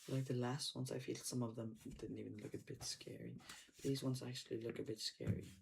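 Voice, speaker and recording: A man's voice: medium pitch, level tone, fairly quick, gravelly, a little hiss or hum. A man giving casual reaction commentary in loose, informal, repetitive remarks. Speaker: reaction video creator.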